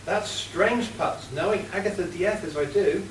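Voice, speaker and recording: A man playing a scheming female criminal mastermind in an amateur radio play, cold and deliberate. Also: high voice, close to an everyday voice. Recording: roomy, distant, faint hiss.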